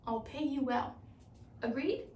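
A woman's voice: sits high, lively intonation, unhurried, a little mellow. A teenage young woman voicing a businesslike male factory president in a storybook. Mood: instructing. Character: sick, middle-aged male cheese factory president. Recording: roomy, distant microphone.

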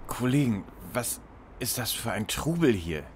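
Man as bossy young detective, mid-pitched. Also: big pitch swings, unhurried, slight background noise. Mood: groggy, matter-of-fact. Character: bossy, analytical young male lead detective. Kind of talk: parody detective audio drama.

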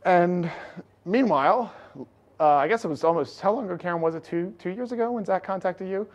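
Loud energetic man giving a talk. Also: high-pitched, a little fast, dull audio. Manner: energetic, loud, projecting without amplification. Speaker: conference speaker. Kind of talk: conference talk with slides. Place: large lecture hall. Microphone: room recording mic, no lapel.

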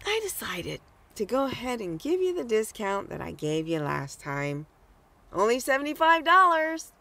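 A woman playing a scheming, greedy fake psychic in an audio drama, with a syrupy, drawn-out delivery. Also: a little high, sing-song, bright, forceful tone, exaggerated voice. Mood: falsely sweet. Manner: syrupy, drawn-out delivery full of knowing pauses.

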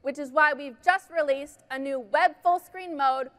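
A woman's voice: high voice, moderate pace, fairly bright tone. A woman giving a polished, enthusiastic scripted keynote presentation on a large conference stage.

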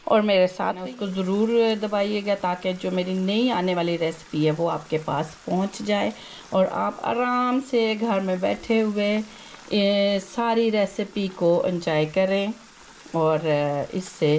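A woman with a sore, hoarse throat hosting a home cooking video, chatting her way through each step. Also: mid-range voice, fairly even intonation, slow pace, a little mellow, slight background noise. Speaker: cooking channel host. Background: frying and sizzling in a kitchen.

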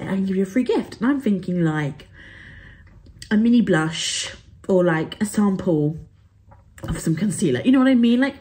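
A young woman talks through her shopping in a relaxed, chatty vlog. Her voice is mellow and soft-edged, sitting at an ordinary middle pitch, and she fills her sentences with easy fillers. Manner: chatty, upbeat, lots of fillers. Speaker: travel and beauty vlogger. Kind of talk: casual haul chat to camera.

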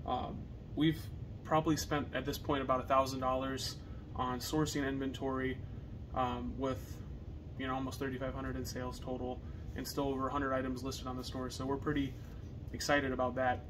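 A young man with an American accent chatting casually to camera as a reseller, with halting phrasing. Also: medium pitch, flat delivery. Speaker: reseller co-hosting a video channel. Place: partially finished basement, indoors. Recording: noisy basement room recording.

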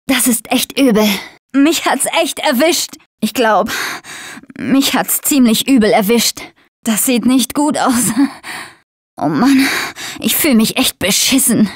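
A woman's voice: high-pitched, dry recording, studio quality, heavily acted. An actress playing a tough, sarcastic young woman surviving a zombie apocalypse in a dubbed video game. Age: young adult.

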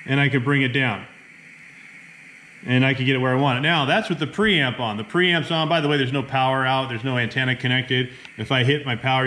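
A man's voice: on the high side, fairly bright tone. A middle-aged American man narrating a casual hands-on how-to as a hobby radio operator, speaking at a table indoors. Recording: clear background room noise.

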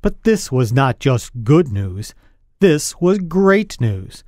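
A man narrating a scripted dramatic story in old radio style, earnest and deliberate. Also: medium pitch, sing-song, a little mellow, no echo, clean studio sound.

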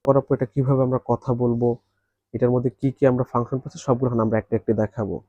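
A man walks through a product demonstration, explaining each step practically and quickly. His voice is dark and mellow, and the recording sounds dry and a little dull. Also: mid-range voice, level tone, no echo.

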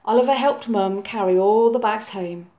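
A woman reading a children's story aloud in a measured, expressive way. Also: medium pitch, slight background noise. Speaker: storybook reader.

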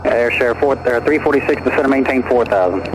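A man's voice: medium pitch, brisk. A man working as an air traffic controller, giving procedural instructions over aviation radio.

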